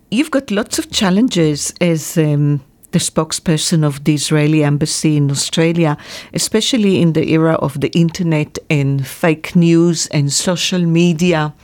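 A woman's voice: low-pitched, darkish tone. A woman hosting a radio interview, asking questions with long setups.

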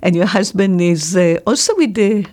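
A woman's voice: mid-range voice, lively intonation, normal speed, a little mellow. A woman hosting a radio interview, asking questions with long setups.

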